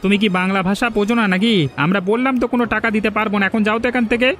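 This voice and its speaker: A resolute cartoon market trader from a village. The voice is very high and fairly monotone, blunt and rapid, with music behind it. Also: male voice. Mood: defiant. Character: defiant village market trader rallying others. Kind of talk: cartoon folk-tale voice acting.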